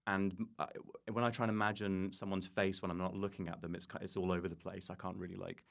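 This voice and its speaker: A man's voice: deep voice, little pitch movement, fast, gravelly. A man chatting casually as a comedian guest on a podcast, joking in a self-deprecating way. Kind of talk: casual comic chat.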